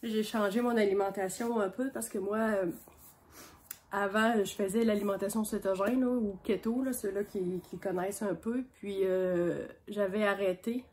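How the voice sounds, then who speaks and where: A woman's voice: medium pitch, steady tone, normal speed, darkish tone. A woman with a Québécois accent, chatting casually about her knitting while she has a cold, in a homespun, meandering way. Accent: Québécois French. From Quebec. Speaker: knitting vlogger on a sheep farm. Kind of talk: casual show-and-tell chat.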